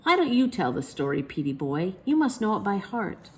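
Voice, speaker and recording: A woman's voice: medium pitch, big pitch swings, normal speed, pitched above the performer's own voice, natural voice. Woman playing a teasing, patient storybook dad. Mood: patient, sleepy. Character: patient, playful, weary father of a small boy. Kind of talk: children's storybook character voice.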